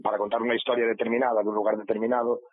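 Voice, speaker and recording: A man with a Galician accent giving reflective interview answers, haltingly searching for words. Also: fairly low pitch, little pitch movement, phone-quality sound, a crowd in the background. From Galicia, Spain. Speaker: actor being interviewed.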